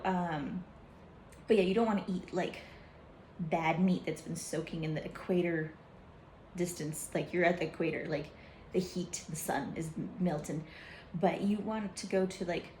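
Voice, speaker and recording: A chatty young woman rambles through her travel food stories, her talk full of fillers and quick asides. Her voice is mellow and relaxed. It rings a little in an echoey room. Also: on the low side, a little hiss or hum. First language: English, some Hindi learned. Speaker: travel vlogger. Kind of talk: casual chat.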